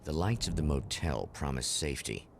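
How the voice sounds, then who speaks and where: Very deep, gravelly, ominous TV narrator. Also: male voice, expressive, a little hiss or hum, full character voice. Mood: grave, foreboding. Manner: solemn, portentous, deliberate phrasing. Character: ominous, portentous narrator of an eerie TV anthology. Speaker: narrator of a mystery TV show. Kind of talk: ominous scripted narration in the manner of a vintage anthology TV show. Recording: loud music under the voice. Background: loud music.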